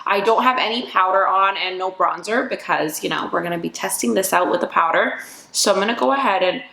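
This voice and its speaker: A woman vlogger reviewing makeup in a casual, bubbly, chatty way, her voice a little raspy from just waking up. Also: mid-range voice, brisk, pushed and bright. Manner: bubbly and chatty, full of fillers. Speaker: beauty vlogger. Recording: echoey room.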